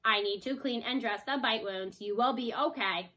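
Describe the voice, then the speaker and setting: A woman voicing a calm, dutiful female farm robot in a storybook, speaking in a measured, formal way. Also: on the high side. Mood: reassuring.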